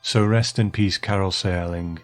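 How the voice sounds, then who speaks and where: A man's voice: low-pitched, fairly even intonation, a little slow, soft background music. A man hosting a podcast, moving between prepared remarks and easy conversation with a guest. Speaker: podcast host. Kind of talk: podcast talk, partly prepared monologue and partly chat.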